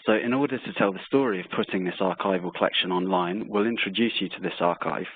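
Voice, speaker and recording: Male webinar host, deep and husky, semi-scripted delivery, thin band-limited call audio. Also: quick pace, dry recording, phone-quality sound. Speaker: webinar host introducing speakers.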